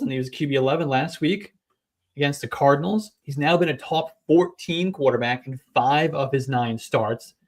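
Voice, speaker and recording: A man hosting a fantasy football podcast, delivering brisk, stat-heavy analysis in casual chat. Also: a little high. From New England.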